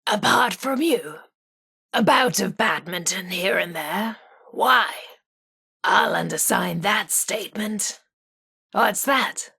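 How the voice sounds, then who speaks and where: Casting: chirpy, coy British female radio co-host, vintage patter, breathy and slightly creaky, unhurried game role. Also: medium pitch, fairly bright tone, exaggerated voice. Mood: flustered, delighted.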